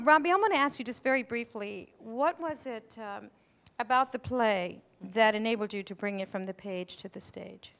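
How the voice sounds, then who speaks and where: A middle-aged woman giving effusive, emphatic thank-you remarks on stage into a handheld microphone. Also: mid-range voice. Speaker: playwright and event host. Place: stage in an auditorium before an audience. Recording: live stage microphone with audience noise.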